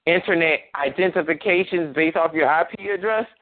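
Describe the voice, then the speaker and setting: A man's voice: a little high, fairly quick. A man hosting a casual phone-line talk show, rambling with many restarts and fillers. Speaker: host.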